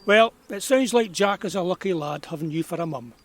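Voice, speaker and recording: A man's voice: high-pitched, brisk. An actor playing a kindly, nostalgic old man of about eighty with a broad rural Perthshire Scottish accent, reminiscing in a folksy way in an audio drama. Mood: kindly, reassuring. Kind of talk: naturalistic audio drama storytelling.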